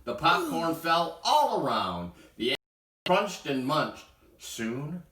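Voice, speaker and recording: A man reading a children's bedtime story aloud in an expressive storytelling style. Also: a little high, takes it slowly. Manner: expressive, acts out sound words. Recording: roomy echo, distant from mic.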